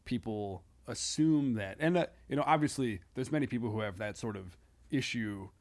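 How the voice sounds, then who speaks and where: A man talking casually as a comedian guest on a podcast, halting and self-deprecating. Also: medium pitch.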